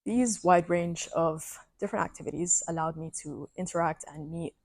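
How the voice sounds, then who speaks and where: A woman's voice: lowish voice, moderate pace, darkish tone, no echo. A young woman from Saudi Arabia, a student candidate giving a composed prepared statement. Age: young adult. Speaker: election candidate.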